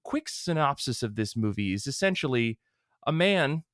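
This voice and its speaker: A man's voice: mid-range voice, sing-song, normal speed, a bit bright, no room sound, studio quality. A man hosting a podcast with casual, joking banter, often cutting himself off mid-sentence. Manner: jokey, rambling, self-interrupting. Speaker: podcast host. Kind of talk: casual chat.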